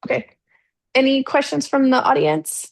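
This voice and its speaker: A woman's voice: high-pitched, expressive, moderate pace, creaky, no echo, poor audio. A woman hosting a lunchtime webinar on a video call, talking casually with lots of fillers and frequent laughs. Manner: chatty, laughs often, many fillers. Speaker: webinar host.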